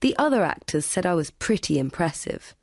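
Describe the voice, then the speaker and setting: A woman reading a chatty, expressive scripted monologue for a listening exam. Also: on the low side, fairly quick, no echo, clean studio sound. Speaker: exam recording speaker.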